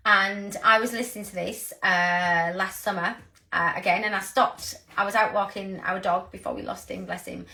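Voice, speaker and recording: A woman in her thirties with a croaky voice, giving an earnest, rambling motivational talk to her team. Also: medium pitch, pushed and bright. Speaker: sales team leader.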